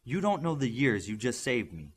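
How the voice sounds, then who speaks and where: Casting: male narrator as businesslike woman scientist, precise and measured, varied pitch, slightly rough tone. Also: sing-song. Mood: grateful, thoughtful. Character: brisk, practical adult female scientist, quietly pleased.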